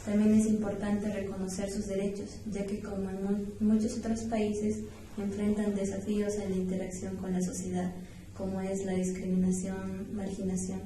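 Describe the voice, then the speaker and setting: A young woman with a southern Peruvian accent gives formal, recited answers as a podcast guest. Her voice is very mellow and rather level. The recording has heavy room echo. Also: medium pitch, completely flat delivery, moderate pace. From San Román province, Puno, Peru. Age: young adult. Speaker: guest, university student. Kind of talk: prepared formal interview answers. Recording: echoey room, distant mic, faint noise.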